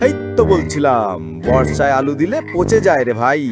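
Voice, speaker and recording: A man's voice: mid-range voice, big pitch swings, moderate pace, close to an everyday voice. A man voicing a sensible, helpful farmer friend in a cartoon, chiding in a brotherly village way. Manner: brotherly, admonishing village talk. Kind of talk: animated moral story dialogue. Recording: loud music under the voice.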